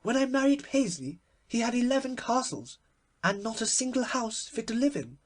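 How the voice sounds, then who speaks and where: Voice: medium pitch, big pitch swings, normal speed, voice pushed up. A performer voicing a stately, complacent Duchess with a prim, emphatic manner in a storybook.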